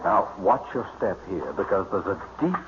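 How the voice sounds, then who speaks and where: An actor with an American accent playing a wry man leading a duck hunt in a 1950s radio comedy, brisk and drily sarcastic. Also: deep voice, sing-song. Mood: brisk, directing. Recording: muffled, very noisy old radio recording.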